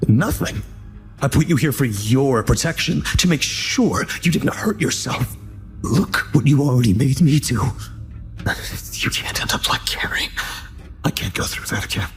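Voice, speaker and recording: A man's voice: medium pitch, animated, normal speed, faint music behind, close to an everyday voice. A man playing an anxious, controlling kidnapper in an audio drama, shifting between soothing and panicky. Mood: agitated, defensive.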